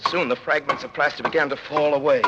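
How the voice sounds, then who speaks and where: A man's voice: a little high, fairly even intonation, fast, muffled recording, natural voice. An actor playing an anguished prisoner who recounts his years in a dungeon, grave and intense, in classic radio drama style. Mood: desperate, pleading. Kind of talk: classic radio drama acting, dramatic first-person narration. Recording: noisy old radio recording.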